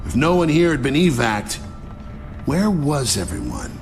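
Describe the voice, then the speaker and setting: A cocky game lieutenant with a mid-range voice that moves freely in pitch. He recounts battle in a dry, sardonic way while loud music plays behind him. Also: wildly swooping pitch, a little slow. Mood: wry recounting. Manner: sardonic, understated. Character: wry, cocky male army lieutenant giving testimony. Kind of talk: video game acting, narrated testimony and battlefield dialogue.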